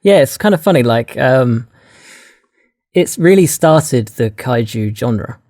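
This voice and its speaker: A man's voice: mid-range voice, animated, slow pace, darkish tone, no echo, studio quality. A man co-hosting a podcast, talking casually about films in a hesitant, tentative way. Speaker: podcast co-host. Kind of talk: casual film discussion.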